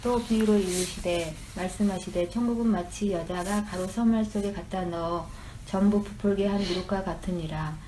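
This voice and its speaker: A woman in a church study group reads scripture aloud, steady and even in her delivery. A faint background noise sits under her voice. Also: mid-range voice, normal speed, hardly any pauses, poor audio. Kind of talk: reading scripture aloud and answering.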